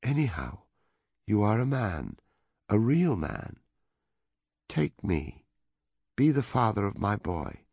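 A man voicing an impassioned European woman who speaks softly and earnestly. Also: fairly low pitch, sing-song, measured pace, vocal fry, breathy edge, dry recording, voice pushed up, full character voice. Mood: pleading. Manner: soft, earnest, pleading.

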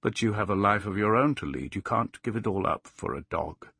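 A man voicing a gentle, sensible male dog in an audiobook, speaking in a measured, resigned, wistful manner. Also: expressive, slightly rough, no room sound, exaggerated voice. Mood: gentle, sad. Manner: slow, low, measured, resigned. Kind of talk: audiobook character voice.

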